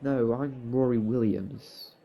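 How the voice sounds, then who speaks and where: A man playing a put-upon, exasperated but loyal husband in a fan audio drama, weary and halting. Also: mid-range voice, dark tone.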